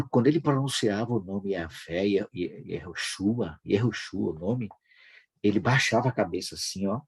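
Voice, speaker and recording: Man, Brazilian accent, very rough husky voice, lowish pitch, fervent devotional testimony, emphatic repetition. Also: darkish tone. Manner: fervent, emphatic, repeats phrases. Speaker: host of a devotional prayer broadcast.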